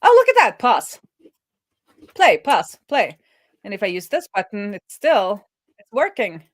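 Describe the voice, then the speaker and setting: A woman's voice: mid-range voice, very animated, a little slow. A woman thinking aloud in a chatty, casual way as she live-streams a coding session. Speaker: streamer and web developer.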